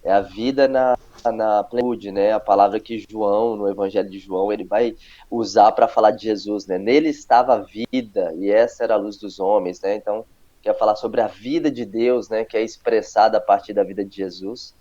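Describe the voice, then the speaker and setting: A pastor in his thirties with a mixed Brazilian accent, calmly telling his story in an interview, with many halting tag questions. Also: lowish voice. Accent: mixed Brazilian Portuguese, northeastern and paulista. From Paraíba, Brazil. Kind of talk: casual testimony interview. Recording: muffled lo-fi sound.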